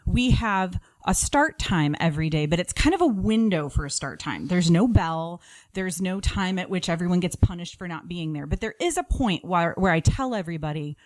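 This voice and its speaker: Lower-pitched woman giving practical advice. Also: a little mellow, no room sound. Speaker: podcast guest giving home-schooling tips.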